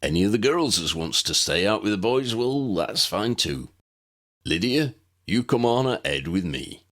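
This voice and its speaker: A man's voice: lowish voice, sing-song, normal speed, fairly bright tone. A man voicing a big, jovial, rustic gamekeeper teacher in an audiobook, hearty and folksy. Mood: jovial, instructing. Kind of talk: audiobook character voice.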